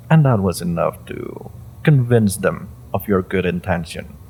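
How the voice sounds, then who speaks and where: A man playing a suspicious, coldly patient agency interrogator in a dramatic audio roleplay, speaking deliberately and sardonically with pointed pauses. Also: deep voice, lively intonation, slight background noise, full character voice. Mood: sardonic, accusing.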